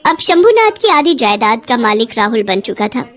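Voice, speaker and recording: Female story narrator, high voice, very animated intonation, dramatic scripted read. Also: moderate pace.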